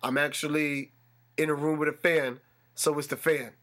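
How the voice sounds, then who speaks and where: Male podcast host, stuffy nasal voice, a touch higher-pitched, talks himself up into excitement. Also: normal speed. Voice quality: slightly nasal. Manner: animated, builds excitement with repetition. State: congested. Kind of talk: solo sports podcast talk. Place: room with a fan running.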